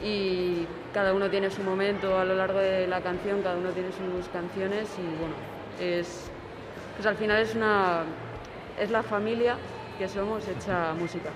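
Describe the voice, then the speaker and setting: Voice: mid-range voice, flat delivery, normal speed, noisy background. A band member describing the group's mixed style, hesitating often. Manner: hesitant, many fillers. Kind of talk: casual interview.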